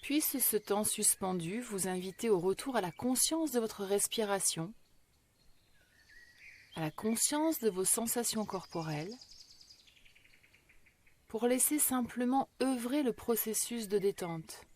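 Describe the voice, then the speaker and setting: A woman guiding a yoga relaxation meditation in a slow, measured, gentle and soothing way, with long pauses. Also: medium pitch, expressive, slight background noise. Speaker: yoga nidra meditation guide.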